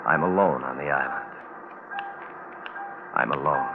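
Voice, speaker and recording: Deep-voiced, grave Navy man in radio suspense. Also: music in the background. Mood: grave, tense. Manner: clipped, grave, measured delivery. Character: terse Navy photographer man stranded alone on a bomb-test island. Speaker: lead actor and narrator. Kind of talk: radio suspense drama, first-person log narration. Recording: muffled, noisy vintage radio broadcast recording.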